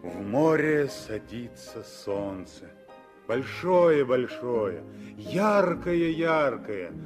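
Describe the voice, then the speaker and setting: A man's voice: medium pitch, sing-song, drawn-out words, music in the background. A man narrating a 1960s radio drama with emphatic, dramatic storytelling.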